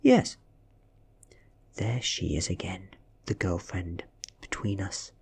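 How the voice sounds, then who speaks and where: British guy doing asmr story reading, slow breathy half-whisper, bit of creak. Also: low-pitched, fairly even intonation. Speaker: audiobook reader.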